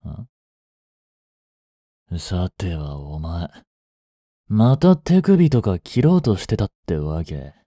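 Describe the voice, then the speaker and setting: Audio drama role: languid young tough guy voiced by a man, low-pitched with swooping intonation, gruff, teasing childhood friend. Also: sing-song, slow pace, pauses often, warm tone, dry recording, clean studio sound, heavily acted. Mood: gruff concern. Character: languid, blunt young male delinquent, protective childhood friend. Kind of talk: intimate Japanese audio drama acting.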